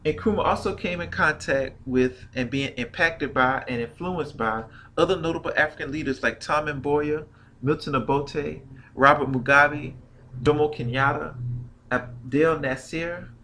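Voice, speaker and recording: A man with a somewhat high, bright and forceful voice reads a history lecture from a prepared script. He keeps a steady, measured narration, with the occasional stumble and restart. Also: slight background noise.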